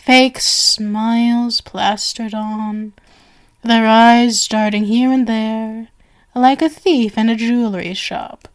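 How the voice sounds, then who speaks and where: Female stage magician, played by a woman, ASMR roleplay. Genial surface, sinister underneath; theatrical, unhurried, higher-pitched, whisper-prone. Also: fairly even intonation. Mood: sly, guarded. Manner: theatrical, flowery, coaxing, drifting into whispers.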